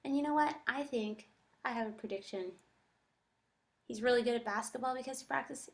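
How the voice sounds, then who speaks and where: A woman's voice: a little high, fairly quick. A woman teacher giving a think-aloud reading lesson, reading and commenting reflectively, talking her thoughts through step by step.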